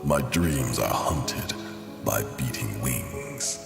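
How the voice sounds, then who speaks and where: A man voicing a somber, haunted psychiatrist narrating his journal for a comic-book drama, measured and brooding, pausing between phrases. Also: rumbling low, sing-song, creaky, full character voice. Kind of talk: dramatic first-person scripted narration. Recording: reverberant echo under music.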